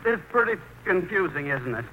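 A man's voice: sits high, sing-song, normal speed, phone-quality sound. An actor playing a timid, hesitant grown son tied to his mother, in 1940s radio acting style. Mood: flustered, bashful. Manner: hesitant, stammering, bashful. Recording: noisy old radio broadcast recording.

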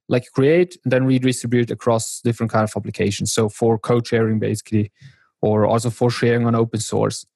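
A man from northern Italy, a software developer explaining things as a podcast guest. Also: medium pitch, dry recording. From South Tyrol, northern Italy. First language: German. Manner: explanatory, with restarts. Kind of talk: casual technical chat.

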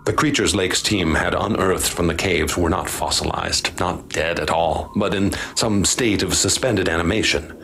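A man narrating and acting as expedition leader in a radio drama, grave and measured. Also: sits low, creaky, fairly bright tone, slight background noise.

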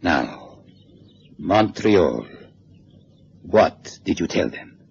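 Cold clipped interrogator, low gravelly voice, lively intonation, dull radio sound. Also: male voice, exaggerated voice. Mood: menacing. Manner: measured, clipped, coldly polite. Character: cold, menacing male Soviet interrogator. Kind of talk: 1960s radio drama acting. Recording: dull old radio recording, faint hiss.

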